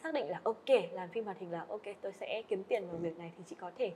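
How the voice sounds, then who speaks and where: A woman's voice: medium pitch, quick pace, slight background noise. A young woman hosting a podcast, asking questions and giving short "ừ" acknowledgements. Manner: warm-up questions, frequent "ừ" backchannels. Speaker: podcast host. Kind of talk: podcast interview. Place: indoor studio set.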